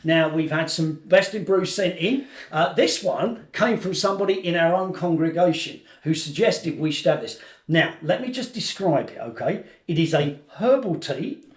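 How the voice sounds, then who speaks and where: A man's voice: on the high side, expressive, normal speed, reverberant. A man co-hosting a podcast in casual chat, playful and teasing with his guests. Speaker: podcast co-host.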